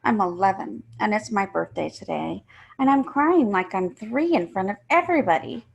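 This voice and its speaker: A woman's voice: mid-range voice, expressive, moderate pace, vocal fry. A woman reading a first-person children's story aloud, emphatically and with acted-out feeling. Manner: emphatic, acts out the characters.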